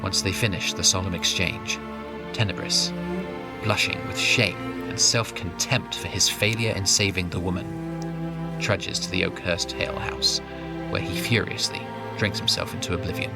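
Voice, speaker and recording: A man's voice: low voice, steady tone, moderate pace, creaky, over loud music. A man giving scripted narration as the storyteller of a fantasy audio drama.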